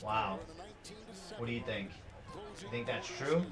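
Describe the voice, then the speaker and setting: A man's voice: mid-range voice, unhurried. A young man chatting casually and teasing a friend while they watch a basketball game together. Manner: joking, teasing, lively banter. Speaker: co-host. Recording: echoey room.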